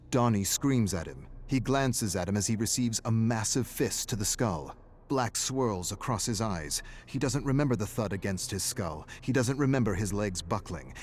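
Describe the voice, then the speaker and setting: A man giving a dramatic, brooding narration of dark fiction in terse, clipped phrases, like game lore. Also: on the low side. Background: background music.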